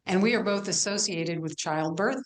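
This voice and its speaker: A woman's voice: medium pitch, normal speed, natural voice. A woman playing a self-assured pagan goddess in a stage play, telling her myths in a matter-of-fact way. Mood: proud. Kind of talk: amateur stage play performance.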